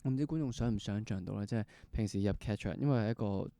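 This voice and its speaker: A man's voice: fairly low pitch, fast, warm tone, no echo. A man with a Hong Kong Cantonese accent hosting a conversational interview, building up long, thoughtful questions. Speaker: interview host. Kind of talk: conversational theatre podcast interview.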